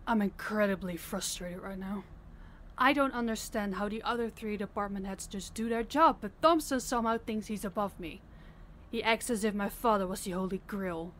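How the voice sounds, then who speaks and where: Stern female crime boss, played by a woman, mid-pitched, blunt and commanding, audio drama. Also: normal speed, a bit bright, a little hiss or hum, close to an everyday voice. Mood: frustrated, businesslike. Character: stern, fed-up female crime boss scolding her subordinate. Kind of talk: audio roleplay acting.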